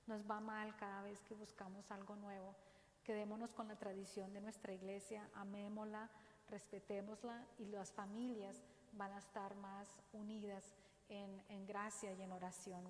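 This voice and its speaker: A woman with a Dominican accent gives an earnest, exhorting faith talk in a church, speaking slowly and deliberately. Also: mid-range voice, little pitch movement, a little hiss or hum. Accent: Dominican Spanish. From Dominican Republic. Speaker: parish speaker. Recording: roomy church echo, faint ambience.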